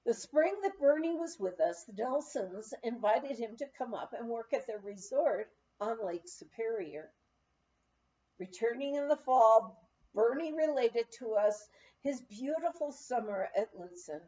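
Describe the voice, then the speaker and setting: A woman narrates a memoir in an expressive storytelling style. Her voice is fairly high and mellow, and she takes her time with each phrase. The recording sounds a little dull and lo-fi. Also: a little slow. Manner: storytelling, acts out quoted dialogue. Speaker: audiobook reader.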